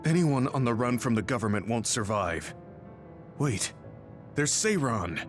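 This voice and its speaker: An actor voicing a wary, laid-back squad veteran in an English-dubbed anime game. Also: male voice, medium pitch, very animated, a little slow, faint music behind.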